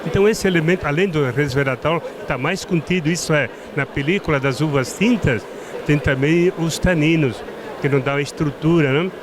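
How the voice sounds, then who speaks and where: Middle-aged guy with a thick italian-tinged accent, kinda high voice, stops and restarts a lot, noisy fair all around. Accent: Italian-tinged Brazilian Portuguese. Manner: halting, often restarts and repeats words. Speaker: winemaker guest. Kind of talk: casual interview at a trade fair. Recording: noisy trade fair ambience.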